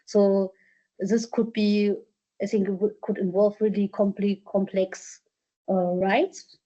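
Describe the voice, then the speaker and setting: A woman with a mellow, dark voice answers questions at a workshop. She talks in a halting way, full of fillers and restarts. The sound is dull and lo-fi. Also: medium pitch, little pitch movement. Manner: hesitant, many fillers and restarts. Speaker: presenter from a culture collection. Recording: dull lo-fi conference audio.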